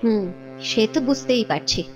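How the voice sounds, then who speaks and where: A woman playing a scolding, fretful queen in a kids' cartoon, nagging and expressive. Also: a little high, moderate pace, faint music behind, lightly acted. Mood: concerned. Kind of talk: Bengali kids' cartoon voice acting.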